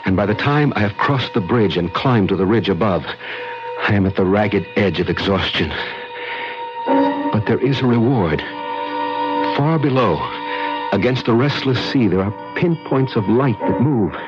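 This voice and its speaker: An actor playing an earnest, brooding American man, narrating his pilgrimage in measured, dramatic radio style. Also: fairly low pitch, expressive, natural voice. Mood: awed. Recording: dull vintage radio recording, music behind.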